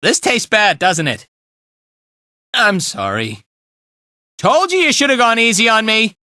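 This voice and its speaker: High, bright, slacker game hero. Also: male voice, big pitch swings, unhurried, dry recording, studio quality, heavily acted. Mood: laid-back, musing. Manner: drawling, easygoing, casual, with trailing tags. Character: laid-back, playful, slacker male fighter and guide. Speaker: video game voice actor. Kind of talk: animated video game voice lines.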